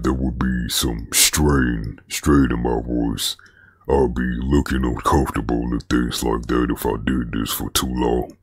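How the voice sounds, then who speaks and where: A man hosting a commentary vlog, speaking in a slow, deliberate, even way. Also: a bass voice, breathy edge, no room sound, studio quality. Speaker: commentary channel host.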